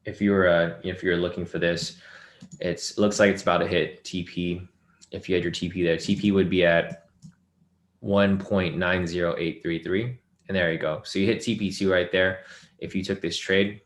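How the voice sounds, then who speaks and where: Low-voiced man hosting a trading webinar. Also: a bit bright, echoey room. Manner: loose, filler-heavy live commentary, reading chat questions. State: losing his voice. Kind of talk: live screen-share trading lesson.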